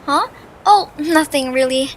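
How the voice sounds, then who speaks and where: A woman voicing a sweet, mild young female creature, gently optimistic and a little timid, in an amateur audio drama. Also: high voice, unhurried, a little hiss or hum. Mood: evasive.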